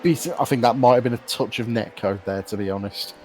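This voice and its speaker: A man giving casual, analytical live commentary on a sim race, explaining things in a measured way. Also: mid-range voice, darkish tone. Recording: faint noise behind voice.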